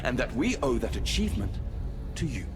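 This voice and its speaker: An actor playing a scheming, menacing immortal male being in a video game cutscene, speaking slowly and temptingly. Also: mid-range voice, sing-song, natural voice. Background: game score music.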